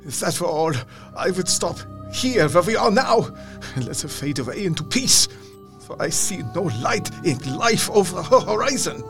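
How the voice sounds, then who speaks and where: An actor playing an urgent, grave older professor of medicine in a gothic audio drama, speaking in formal, exclamatory phrases. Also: male voice, high-pitched, sing-song, moderate pace, over music. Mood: grim. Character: older foreign male doctor-professor, urgent, grave, fiercely earnest.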